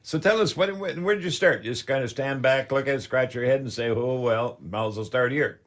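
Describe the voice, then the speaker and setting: An older man with a Canadian accent hosting a radio talk show in a folksy, halting, unscripted way. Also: mid-range voice.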